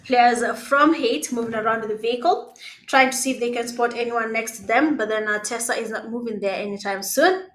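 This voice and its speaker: A Kenyan woman whose first language is Swahili, giving live esports commentary in a conversational, hesitant way with pauses. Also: on the high side, fairly bright tone, slight background noise. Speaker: esports caster. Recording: roomy, echoing sound.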